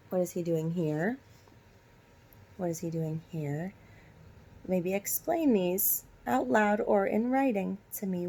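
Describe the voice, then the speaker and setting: A woman's voice: on the low side, a little mellow. A woman teacher doing a storybook read-aloud for young children, instructing and asking them direct questions. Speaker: elementary school teacher.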